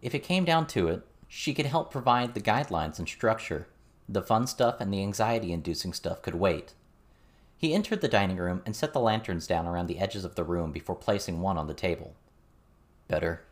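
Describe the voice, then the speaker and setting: Low-pitched man reading an audiobook. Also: lively intonation, brisk. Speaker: audiobook reader. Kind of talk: scripted story narration.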